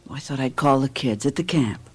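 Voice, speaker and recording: An actress playing a gentle, tired suburban wife and mother in a radio drama, with hesitant phrasing. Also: a bass voice, fairly quick, husky. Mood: tired, wistful. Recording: noisy radio drama with background sound effects.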